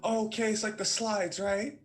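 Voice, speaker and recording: A man putting on the voice of a soft-spoken young woman classmate, asking hesitant questions on a video call. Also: sits high, little pitch movement, unhurried, soft background music, pitched above the performer's own voice. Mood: unsure.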